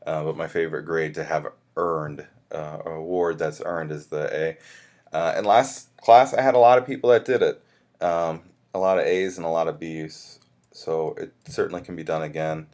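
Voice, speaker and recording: A man speaking as a college instructor, walking students through a course in an informal, conversational and reassuring way with self-corrections. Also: sits low. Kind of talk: informal course orientation lecture, explaining syllabus to students.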